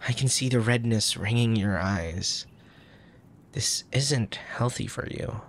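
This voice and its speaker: A man playing a soft, tender young crown prince in an intimate ASMR roleplay, speaking formally with a gentle, hesitant manner and a troubled air. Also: fairly low pitch, takes it slowly, creaky, a little hiss or hum. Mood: earnest, protective. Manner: formal old-fashioned wording, gentle, hesitant.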